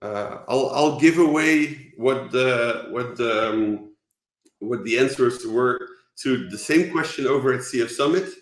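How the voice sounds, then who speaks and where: A man from the Netherlands with a Dutch accent, giving an online conference talk, halting with many fillers and restarts. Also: mid-range voice, reverberant. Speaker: conference speaker.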